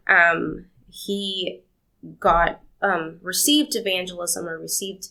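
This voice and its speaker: Bright, gravelly woman telling her story. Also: fairly low pitch, level tone, takes it slowly. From Argentina. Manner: warm, rambling storytelling with laughs. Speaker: podcast guest. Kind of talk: interview.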